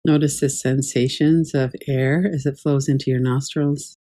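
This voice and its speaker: A woman teaching guided meditation in a calm, measured, gently instructive way. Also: very deep voice. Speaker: yoga nidra meditation teacher.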